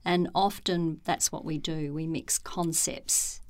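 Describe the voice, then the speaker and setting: A middle-aged woman, a former executive turned meditation teacher, speaking in a calm, measured and thoughtful way with frequent pauses. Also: low-pitched. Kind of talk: reflective interview talk.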